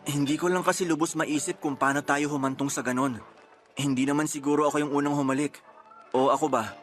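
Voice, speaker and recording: A man's voice: fairly high pitch, fairly even intonation, brisk, a bit bright, faint music behind, close to an everyday voice. Man acting a hesitant, frightened young Filipino. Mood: awkward, regretful. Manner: hesitant, defensive. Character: reluctant, frightened young man facing unplanned fatherhood. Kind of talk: radio drama acting in Tagalog.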